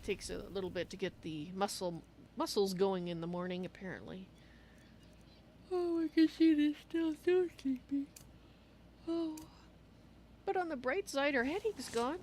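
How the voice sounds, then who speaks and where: A woman voicing a gentle, fussy female cat-folk traveler in a game roleplay, musing aloud about herself in the third person. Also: fairly high pitch, unhurried, pauses often, pitched above the performer's own voice. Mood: sleepy, pleased. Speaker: livestream roleplayer. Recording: steady background noise.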